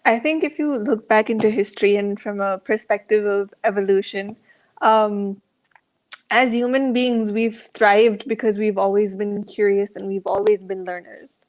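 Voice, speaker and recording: Woman on an online panel, thoughtful and well spoken, middle pitch, explains her view, tags on "right?". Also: fairly even intonation, moderate pace, poor audio. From India. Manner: reflective and articulate, rhetorical questions, checks with "right?". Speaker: panel guest speaking as a learner. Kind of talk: thoughtful conversational answer. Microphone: online video call.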